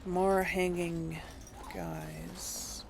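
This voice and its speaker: Unhurried woman gamer musing over her choices, hesitant, a touch low and gravelly. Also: very animated, noisy background. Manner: thinking aloud, hesitant, chuckling. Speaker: let's play gamer. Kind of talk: casual gameplay commentary.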